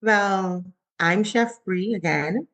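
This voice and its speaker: Woman chef, 40s, from the Bahamas; lively storyteller, mid-pitched, casual interview guest. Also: takes it slowly. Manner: animated, acts out her own quotes with rising pitch.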